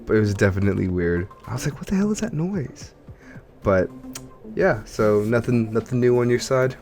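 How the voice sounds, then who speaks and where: A man's voice: mid-range voice, expressive, normal speed, darkish tone, soft background music. A man hosting a casual gaming podcast, chatting while congested from allergies. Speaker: podcast host.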